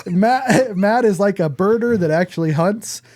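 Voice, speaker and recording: A man's voice: high voice, animated, unhurried, a little mellow, no room sound, clean studio sound. A man hosting a podcast in a casual, conversational style, speaking bluntly and swearing freely. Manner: blunt, profane. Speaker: podcast host. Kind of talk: casual chat.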